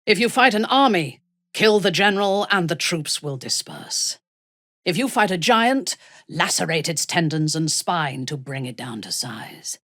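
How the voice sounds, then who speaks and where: A woman playing a ruthless, haughty dark elf noblewoman in a fantasy video game, speaking in a measured, menacing way with a breathy, husky voice. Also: on the low side, sing-song, no echo, studio quality, full character voice. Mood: commanding, menacing. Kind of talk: dramatic fantasy game dialogue.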